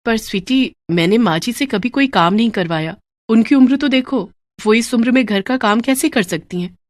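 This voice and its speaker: Storybook heroine: woman acting a dutiful young daughter-in-law, gentle, soft-spoken, dry sound. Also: fairly high pitch, normal speed, no echo, close to an everyday voice. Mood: patient. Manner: soft, patient.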